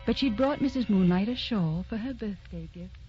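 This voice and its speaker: An actress playing the spirit of romance, narrating an old radio drama in a lyrical, intimate, dramatic manner. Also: female voice, mid-range voice, expressive, moderate pace, close to an everyday voice. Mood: wistful, tender. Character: Romance personified, dreamy, tender female storyteller. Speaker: narrator and host. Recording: dull vintage radio recording with music.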